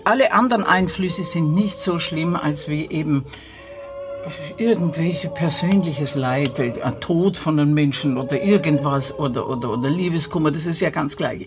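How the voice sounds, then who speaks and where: A woman in a casual interview, halting and searching for words as she reflects. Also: low voice.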